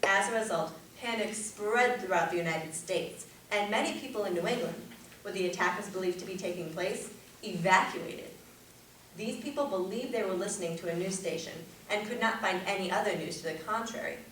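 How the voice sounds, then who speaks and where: A young adult woman delivers a rehearsed persuasive speech in a formal, deliberate, projected manner, as a competitive public speaker. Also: medium pitch, fairly bright tone. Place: echoey indoor room at a speech tournament. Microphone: distant camera or room microphone. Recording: very echoey room, distant mic, faint noise.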